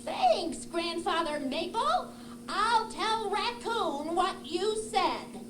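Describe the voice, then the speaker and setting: A woman voicing an excitable, curious squirrel puppet in a children's show, exclaiming and asking questions. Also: extremely high pitch, heavily acted. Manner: exclaiming, questioning, drawn-out delivery. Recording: roomy, echoing, noisy live puppet show.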